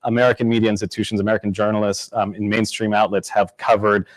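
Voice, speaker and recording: A man's voice: fairly low pitch, little pitch movement, fast, dry recording. Earnest male journalist, dry close sound. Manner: earnest, pushes back, builds his point. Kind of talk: panel discussion.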